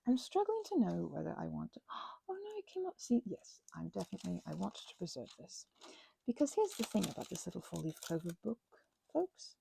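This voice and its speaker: A woman's voice: fairly low pitch, very animated, brisk, creaky, mellow, slight background noise, low-quality recording. A woman crafting and rambling casually in ASMR style, whimsically talking to herself and the things in her hands, sometimes dropping to a near-whisper. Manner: whimsical, talks to herself and objects. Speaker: crafting vlogger.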